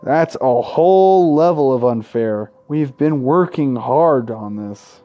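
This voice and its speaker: A man's voice: medium pitch, expressive, slow pace, muffled recording. A man hosting a gaming video, reading visual novel text aloud and adding casual, irreverent commentary. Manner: irreverent, sweary heckling between read passages.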